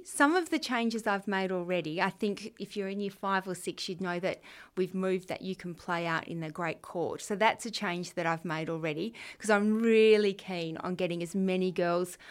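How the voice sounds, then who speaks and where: Earnest Australian woman in her forties. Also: mid-range voice. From Western Australia. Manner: warm, earnest, chatty with fillers. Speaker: school head of junior years. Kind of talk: answering students' interview questions.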